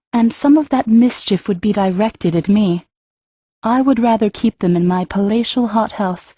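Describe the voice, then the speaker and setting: A woman voicing a poised empress who speaks in a formal, measured and dryly ironic way, in a storybook reading. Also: mid-range voice, mellow, no echo. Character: regal, wry empress, poised and cool.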